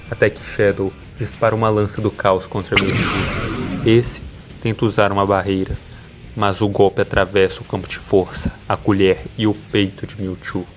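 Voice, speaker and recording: Brazilian man, low voice, narrator, dramatic scripted delivery, music behind the voice.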